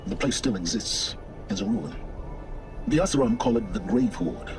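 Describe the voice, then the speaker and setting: An actor playing a condescending, pompous middle-aged male scholar of ancient ruins, with measured, theatrical delivery in a video game. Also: lowish voice, big pitch swings, vocal fry, over music. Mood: instructive.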